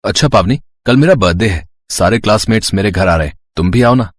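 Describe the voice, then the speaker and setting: A man's voice: on the low side, lively intonation, fairly quick, husky, dry recording. A man voicing a friendly, earnest college student in an audio story, boyish and a little hesitant. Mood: friendly, coaxing. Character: friendly, earnest young male college student, rich builder's son.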